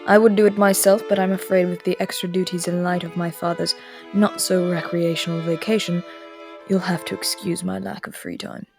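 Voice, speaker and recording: A cool, languid immortal prince, as a woman voices him in a roleplay audio drama, aloof and aristocratic. The voice is lowish with a gravelly fry, and he gives orders calmly, drifting now and then into soft murmurs. Faint string music plays beneath. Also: normal speed. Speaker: voice actor.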